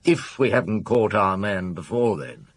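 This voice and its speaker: An actor playing a gruff, commanding Paris police chief inspector in a radio drama, firing off brisk questions. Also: male voice, fairly low pitch, animated. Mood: measured, wry.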